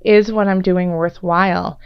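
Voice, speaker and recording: Woman doing a chill tarot reading, a bit raspy, lots of ums, smooth voice, dry kinda dull sound. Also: on the low side, fairly even intonation, a little slow, dry recording. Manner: loose and rambling, many fillers. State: slightly raspy from illness. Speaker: tarot reader.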